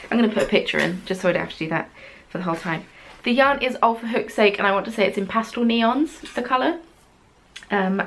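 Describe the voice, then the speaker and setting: A woman's voice: medium pitch, slight background noise. A young woman hosting a knitting podcast, chatting casually from her craft room in a rambling, self-deprecating way with plenty of ums. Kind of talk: casual chat. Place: small craft room at home, yarn on the wall behind.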